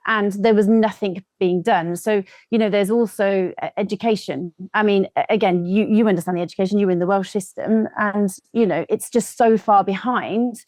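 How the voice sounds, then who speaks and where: A woman's voice: mid-range voice, a little mellow, no echo. A woman answering as a guest in a conversational political interview.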